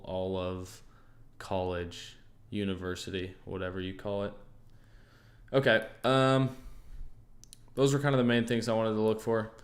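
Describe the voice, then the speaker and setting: A young American man hosting a casual livestream, easygoing and thinking aloud, often pausing on fillers and restarting his sentences. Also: on the low side. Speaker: trading livestream host. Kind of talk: casual livestream chat answering viewers.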